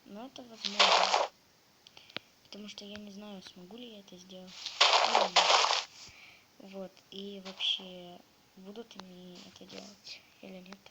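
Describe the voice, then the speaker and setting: A woman with a sore throat, slightly ill, quietly muttering a casual commentary on the game she is playing. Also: medium pitch, flat delivery, a little slow, pauses often. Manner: quiet, half-whispered muttering to herself. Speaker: gamer narrating her play. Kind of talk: casual let's-play commentary. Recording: loud background noise.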